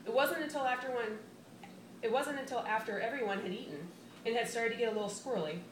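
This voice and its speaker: A woman's voice: a little high, quick pace, natural voice. A woman reading a short story live as a blunt, defensive female teacher giving a police statement, conversational, wry and impatient. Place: small bar reading room, indoors. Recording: roomy, noisy bar on event microphone.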